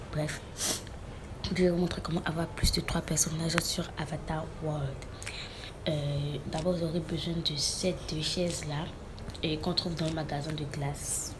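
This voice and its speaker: A woman's voice: sits low, noisy background. A woman with a cold giving a casual game tutorial, explaining step by step in a hesitant way. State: has a cold. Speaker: gaming tutorial host. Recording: loud music under the voice. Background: loud background music.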